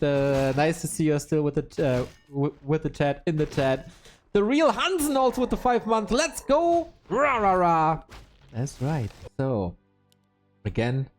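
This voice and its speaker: Animated, jokey male esports caster. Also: sits high. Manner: jokey, animated, reads out donations. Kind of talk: live game commentary with chat shout-outs.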